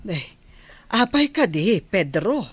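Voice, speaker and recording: Ilocano village mom in radio drama, slow talker, gently scolding. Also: female voice, medium pitch, sing-song, husky, heavily acted. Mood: reproachful. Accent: Filipino, Ilocano.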